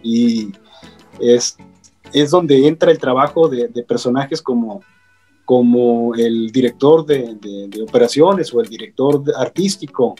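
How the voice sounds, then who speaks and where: A man speaks as a panel guest in a dark, husky, mid-pitched voice. He explains in a halting way, repeating words as he searches for the next one. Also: faint music behind. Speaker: radio professional, panel guest.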